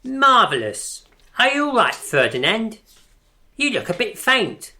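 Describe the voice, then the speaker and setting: A woman's voice: fairly high pitch, very animated, unhurried, sharp tone, exaggerated voice. A woman playing the self-regarding leader of a poetry circle in a radio drama, with a pompous, arch manner. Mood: brisk, arch.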